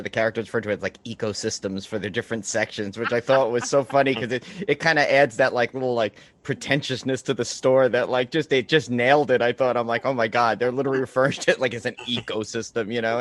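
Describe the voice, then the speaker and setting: Guy hosting a podcast, medium pitch, gushes and rambles through his questions. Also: steady tone, fast, hardly any pauses, a bit bright. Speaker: podcast co-host interviewer. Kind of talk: casual fan chat.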